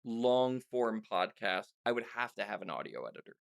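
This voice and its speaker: A man's voice: lowish voice, moderate pace, gravelly, no echo, studio quality. A man hosting a casual interview, talking candidly and self-deprecatingly with rambling asides. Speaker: podcast host and audio drama writer.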